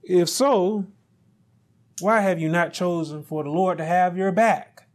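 A middle-aged man delivering a sermon in a small studio, his voice pitched noticeably high. He takes his time, drawing out phrases unhurriedly. His voice swells into loud, high emphasis at the key points. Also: steady tone. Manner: slow, deliberate preaching that climbs into loud, high emphasis. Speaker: pastor.

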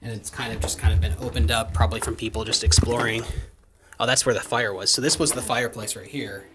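A man's voice: lowish voice, fairly even intonation, fast talker, noisy background. Man exploring a cave, explaining as he walks. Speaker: vlogger and father. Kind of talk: casual explaining to camera. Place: stone cave house in a wooded hillside, partly outdoors. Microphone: handheld camera, sometimes at arm's length.

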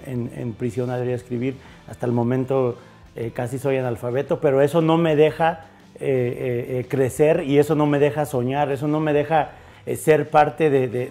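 A man in his forties from Mexico, a chef answering interview questions in a bar, halting with many fillers and restarts, recorded on a lapel mic. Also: mid-range voice, mellow. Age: 40s. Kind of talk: interview conversation. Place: bar with bottle shelves.

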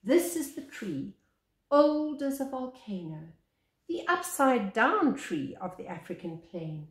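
An older woman, a teacher, reads a picture book aloud with deliberate phrasing and pauses between phrases. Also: sits high, big pitch swings. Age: older, 60s or above. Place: small living room, indoors. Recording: echoey room sound.

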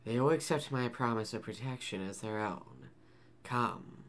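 Woman reading a deep-voiced, formal young alien warrior; clipped and slow. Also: a little hiss or hum. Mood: solemn.

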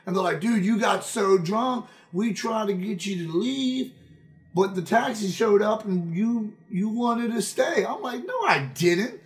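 A man in his thirties telling a casual, profane story as a game streamer, in an animated, emphatic way, repeating phrases for effect. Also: sits high, unhurried. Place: indoor room at a streaming setup.

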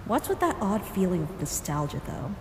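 A woman voicing a brash, mocking young punk adventurer in an animated comic dub, with a cocky, sarcastic delivery. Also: fairly low pitch, moderate pace, heavy background noise. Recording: music behind the voice.

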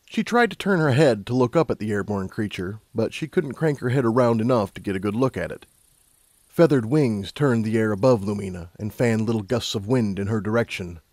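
A man narrating a scripted story as an audiobook narrator, in a measured, dramatic storytelling manner. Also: on the low side, animated, darkish tone, dry recording, clean studio sound.

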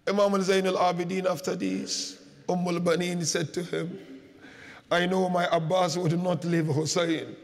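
A man preaching a mourning sermon as a dramatic lament, impassioned and drawn-out, his voice tearful and breaking. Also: high-pitched, steady tone. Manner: impassioned, drawn-out, grief-laden delivery. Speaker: preacher reciting a religious lament.

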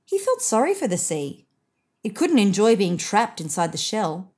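A woman narrating a children's picture book expressively, with deliberate emphasis on key phrases. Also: mid-range voice, big pitch swings, darkish tone, breathy edge.

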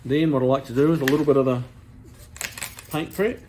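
A man in his sixties with a New Zealand accent, showing car restoration work in a workshop in a relaxed, practical, plain-spoken way. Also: medium pitch, brisk, noisy background. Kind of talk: casual how-to demonstration. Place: large car workshop, indoors.

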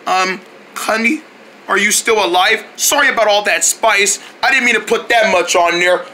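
A man voicing excitable, easily panicked cartoonish characters in a comedy skit, with exaggerated, dramatic delivery. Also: high-pitched, normal speed, a little hiss or hum, rough recording. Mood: casual bickering.

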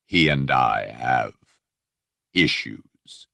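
A man voicing a menacing, coldly polite armored dark lord in an audiobook, with rich, silky, measured speech. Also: lively intonation, gravelly, no echo, studio quality, exaggerated voice. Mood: quiet menace. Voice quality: deep, rich, silky, mellifluous. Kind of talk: audiobook character voice.

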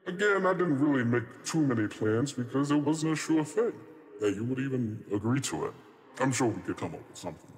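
A man's voice: mid-range voice, lively intonation, a little fast, lightly acted. A man voicing a chatty, courteous trickster spirit in a tabletop game, hedging and rambling politely as he goes. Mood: evasive, reassuring. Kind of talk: improvised tabletop roleplay. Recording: long reverb on the voice.

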